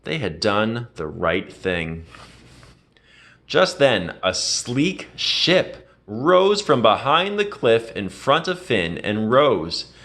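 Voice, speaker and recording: Animated male storybook narrator. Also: mid-range voice, takes it slowly. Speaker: read-aloud narrator. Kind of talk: expressive children's storybook read-aloud.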